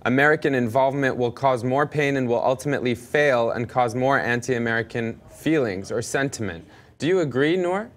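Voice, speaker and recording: Man, interview host, probing questions, middle pitch. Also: fairly quick, slight background noise. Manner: probing, rephrases questions mid-sentence. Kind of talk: live web show interview.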